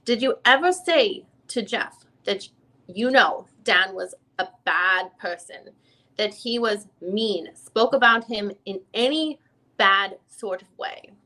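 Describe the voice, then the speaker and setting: A woman's voice: mid-range voice, expressive, slow, pushed and bright, poor audio. A woman reading the part of a persistent defense lawyer questioning a witness in a dramatic reading of a deposition, often rephrasing her questions. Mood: probing, businesslike. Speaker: reader playing a deposing attorney.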